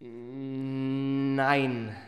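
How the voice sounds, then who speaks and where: A man's voice: medium pitch, little pitch movement, extremely slow, smooth, no room sound. An actor playing an eloquent, well-bred young confidence man in a radio play, speaking in ornate, elaborately polite phrases. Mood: deferential, guarded. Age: young adult. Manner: ornate, elaborately polite, self-regarding diction. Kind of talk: literary radio play narration and dialogue.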